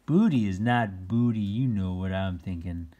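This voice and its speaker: A children's read-aloud host with a husky voice, reading a picture book and adding playful exclamations and explanations for young listeners. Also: deep voice, moderate pace, dark tone. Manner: exclaims, gasps, chuckles and explains words to children.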